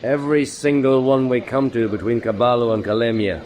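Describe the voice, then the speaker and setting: A man's voice: mid-range voice, fairly even intonation, a little fast, a little mellow, natural voice. A man playing a matter-of-fact sailor with a dry manner in a radio drama. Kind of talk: radio drama dialogue and narration. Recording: clear outdoor ambience noise.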